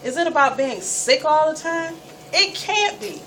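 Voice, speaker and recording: A woman storyteller reading a first-person story aloud with dramatic, emphatic delivery and deliberate pauses. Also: sits high, animated, fairly bright tone. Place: live event space, recorded from a distance. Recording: distant mic, noisy room ambience.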